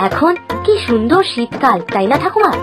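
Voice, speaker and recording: High, whiny little boy. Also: big pitch swings, moderate pace, music in the background. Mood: wheedling. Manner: wheedling, sulky. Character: whiny, picky, pleading young boy. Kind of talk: Bengali children's cartoon acting.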